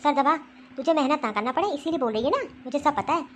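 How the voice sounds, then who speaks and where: A woman's voice: high voice, quick pace, slight background noise, close to an everyday voice. A woman acting as a bossy, scheming older mother-in-law who scolds and gives orders in a family skit. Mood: scolding. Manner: scolding, commanding, grumbling to herself.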